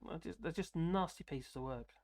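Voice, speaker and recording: A man from the UK with a fairly high voice, hosting a live stream and rambling casually through viewer comments with frequent chuckles. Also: moderate pace, darkish tone. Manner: rambling, self-deprecating, chuckling often.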